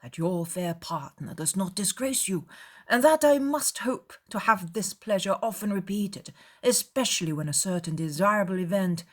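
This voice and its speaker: A woman voicing an effusive, courtly older gentleman who flatters with pompous, ornate politeness in an audiobook dramatization. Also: medium pitch, moderate pace, lightly acted. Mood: effusive, flattering.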